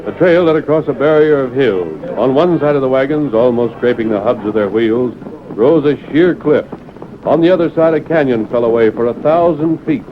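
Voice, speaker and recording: A man narrating an old-time radio western in a measured, formal, dramatic American voice. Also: mid-range voice, animated, slight background noise, close to an everyday voice. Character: dramatic storyteller of an Old West serial. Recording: muffled old radio broadcast recording.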